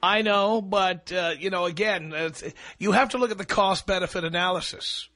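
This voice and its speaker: A man's voice: sits high, normal speed, pushed and bright. A man hosting a call-in radio show, blunt and pragmatic with a dry, joking manner. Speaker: radio talk show host.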